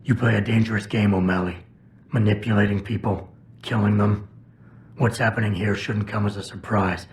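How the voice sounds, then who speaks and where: A low-voiced, gruff cyborg investigator, acted by a man, speaks in terse, stoic lines with dry confidence. It is video game dialogue over faint background noise. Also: fairly even intonation, normal speed, lightly acted. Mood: stern.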